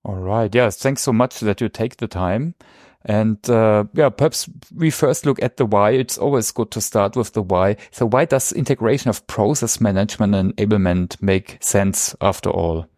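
A man's voice: lowish voice, moderate pace, a little mellow, no echo, studio quality. A man with a German accent hosting a podcast interview in a conversational style. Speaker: podcast host.

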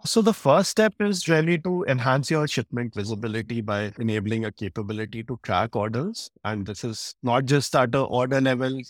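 A man's voice: mid-range voice, normal speed, hardly any pauses, dry recording. Man explaining methodically, dry close recording. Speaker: guest expert, supply chain practice lead. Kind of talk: explanatory interview answers.

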